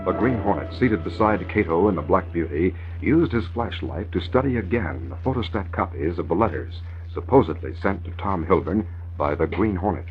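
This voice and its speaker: A dramatic radio narrator with a very deep voice. The scripted storytelling comes through a dull old recording with faint music behind. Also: male voice, animated, moderate pace. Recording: muffled vintage radio recording.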